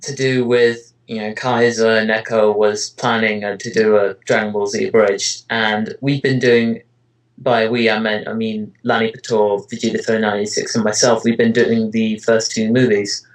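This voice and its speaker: A man's voice: on the low side, little pitch movement, moderate pace, low-quality recording. Rambling man in a casual interview. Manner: hesitant, rambling delivery with frequent "you know" and "kind of". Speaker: interviewee, amateur voice actor and web parody creator.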